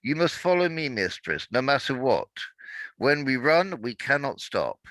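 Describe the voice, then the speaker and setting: A man's voice: medium pitch, sing-song, measured pace, fairly bright tone, dry recording, voice pushed up. A man voicing a resolute young slave woman in a story reading, firm, urgent and protective. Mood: urgent command. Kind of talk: audiobook character voice.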